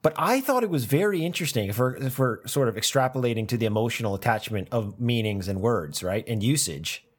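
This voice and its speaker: Lively-voiced man thinking aloud. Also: medium pitch, fairly quick, hardly any pauses, husky. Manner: thoughtful, repeats words while thinking aloud. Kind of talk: casual academic conversation.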